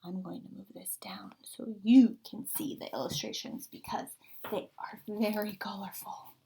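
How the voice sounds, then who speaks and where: A woman's voice: mid-range voice, moderate pace, gravelly, mellow, whispered. A woman reading a picture book aloud like a teacher, expressively, drawing out and stressing key words. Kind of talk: expressive children's read-aloud.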